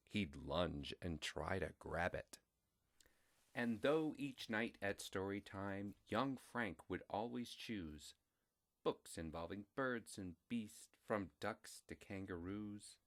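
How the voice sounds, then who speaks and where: A man reading a children's story aloud in a deliberate, expressive way. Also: sits low.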